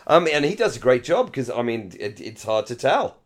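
A man's voice: medium pitch, big pitch swings, a little fast. A man co-hosting a podcast in casual discussion, speaking appreciatively and emphatically, often repeating his phrases. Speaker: podcast co-host. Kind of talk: casual discussion of a radio drama.

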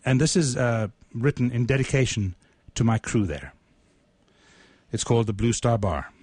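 A man's voice: mid-range voice, moderate pace, a little mellow, breathy edge, dry recording. A man hosting a radio show, telling stories and presenting poetry in a spoken, literary way. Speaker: radio show host and poet. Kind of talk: conversational storytelling and poetry recitation.

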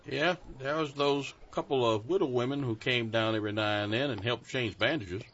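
A man's voice: medium pitch, moderate pace, a bit bright, a little hiss or hum, close to an everyday voice. A man voicing a blind caretaker who talks in a folksy, self-deprecating way. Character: blind male caretaker, humble and joking. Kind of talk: audio drama acting. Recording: muffled lo-fi recording.

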